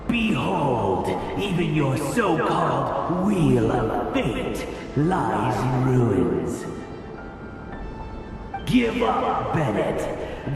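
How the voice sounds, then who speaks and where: A man playing a menacing embodiment of death in a video game, taunting with theatrical, mocking, drawn-out delivery. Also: medium pitch, exaggerated voice. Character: gloating, menacing male embodiment of death and fate. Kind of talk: dramatic video game villain acting. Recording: cavernous long reverb, distant, under music.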